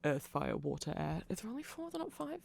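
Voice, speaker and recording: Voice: medium pitch, wildly swooping pitch, brisk, creaky. A person giving a rambling, informal and opinionated ASMR-style explanation as a content creator.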